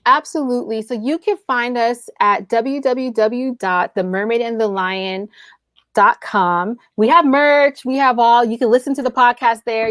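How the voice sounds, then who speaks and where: A woman's voice: on the high side, a bit bright, no room sound, clean studio sound. An American woman speaking casually and emphatically as an interview guest, with an enthusiastic manner. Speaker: podcast guest, audio drama writer and producer.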